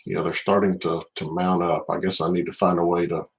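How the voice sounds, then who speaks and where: A middle-aged man with a Southern US accent and a low-pitched voice hosts a casual livestream. He talks in an unhurried, conversational way, addressing viewers directly by name. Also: flat delivery, creaky. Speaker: livestream host, card collector.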